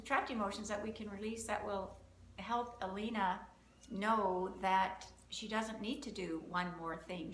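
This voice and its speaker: A woman's voice: medium pitch, a bit bright. A woman runs a live energy-healing session, counting through options aloud in an upbeat, chatty way. Her voice sits in a middle register. The room is roomy, with a faint hiss of noise behind her. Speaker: emotion code practitioner and host. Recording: roomy, slight background noise.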